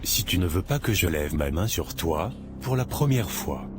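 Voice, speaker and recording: A cruel cartoon husband, acted by a man. He orders and insults with cold contempt, over clearly audible music. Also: low-pitched, lively intonation, normal speed. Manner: harsh, commanding, insulting. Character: cruel, contemptuous husband throwing out his wife. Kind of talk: animated moral drama acting.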